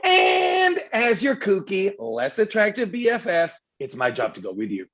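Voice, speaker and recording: Man as a pushy, highish cartoon animal sidekick. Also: huge pitch swings, moderate pace, a bit bright, muffled recording, heavily acted. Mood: scheming. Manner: brash, bossy. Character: pushy, kooky, attention-hungry animal best friend. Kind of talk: cartoon table read.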